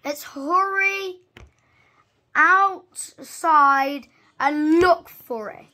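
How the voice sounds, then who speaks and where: A young reader reading a storybook aloud haltingly, stumbling over and repeating words. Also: high voice, pushed and bright.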